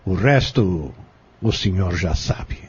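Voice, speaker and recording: Man acting as a very husky, vengeful schemer. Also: low-pitched, expressive, slow, lo-fi sound, full character voice. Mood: cold, bitter. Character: cold, vengeful male schemer confessing his crimes. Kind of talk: audio drama acting.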